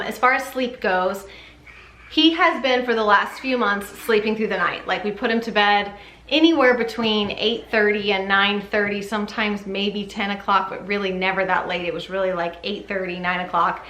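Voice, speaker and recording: A woman's voice: medium pitch, bright, forceful tone. A woman giving a casual, chatty vlog update as a mother, sometimes slipping into sing-song baby talk. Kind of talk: casual chat to camera. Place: indoor room at home with ceiling fan. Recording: roomy echo, faint background noise.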